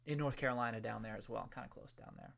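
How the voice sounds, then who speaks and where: A man chats casually on his livestream, greeting viewers and answering their questions. He rambles and restarts, stacking up fillers as he thinks aloud. His pitch sits squarely in the middle range. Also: fairly even intonation, fast, gravelly, fairly bright tone. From New England. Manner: loose and chatty, many restarts, hums a tune. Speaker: livestream host. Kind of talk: casual livestream chat reading viewer comments.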